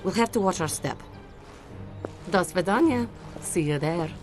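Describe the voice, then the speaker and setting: An actress playing a composed, teasing Soviet spy in a video game, with a Russian accent. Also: female voice, fairly low pitch, animated, a little slow, music in the background. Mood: briskly businesslike. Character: cool, sultry female Soviet spy, wryly in control. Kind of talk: video game cutscene acting.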